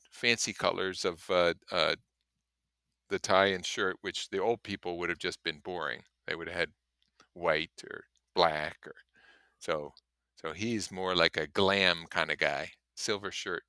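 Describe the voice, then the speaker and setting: A man's voice: low-pitched, fairly even intonation, measured pace, sharp tone, clear, resonant tone, dry recording, studio quality. A man reading a story aloud in an audiobook style, with casual commentary of his own. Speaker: reader and commentator.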